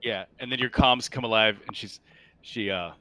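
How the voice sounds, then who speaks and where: A man working as game master, narrating and making rulings in a halting, think-aloud way. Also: on the low side, pushed and bright, no room sound. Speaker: game master of a tabletop role-playing game.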